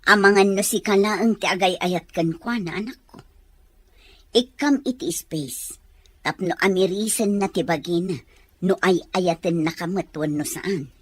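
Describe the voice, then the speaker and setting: A woman's voice: on the low side, measured pace, slightly rough, no echo. A woman acting a stern, worried Ilocano mother. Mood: worried, admonishing. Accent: Ilocano Filipino. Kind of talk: radio drama acting.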